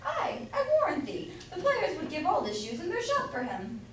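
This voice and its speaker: A woman's voice: extremely high pitch, wildly swooping pitch, moderate pace, full character voice. A young woman acting a blustering, opinionated citizen who interjects in a staged reading of a Jacobean comedy. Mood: hearty approval. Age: young adult. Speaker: student actor. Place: indoor room, far from the mic. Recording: distant, very echoey room, faint noise.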